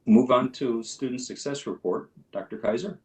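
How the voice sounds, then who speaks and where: A man chairing a board meeting over a video call, praising a report in an unhurried way. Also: medium pitch, darkish tone, poor audio.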